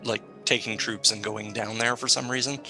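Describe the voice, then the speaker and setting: A man playing a tabletop game, talking in a relaxed, matter-of-fact way. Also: fairly low pitch, normal speed, soft background music. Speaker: player. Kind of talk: casual game talk.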